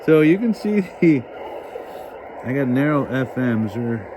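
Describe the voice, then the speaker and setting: A man giving a casual hands-on radio demonstration as host, thinking aloud in a halting way. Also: medium pitch, sing-song, background noise. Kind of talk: casual hands-on demonstration, talking while working.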